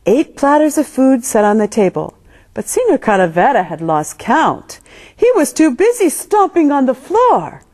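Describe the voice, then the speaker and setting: Animated, higher-voiced woman reading a storybook. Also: moderate pace. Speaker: storyteller reading a picture book. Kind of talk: animated children's storybook narration.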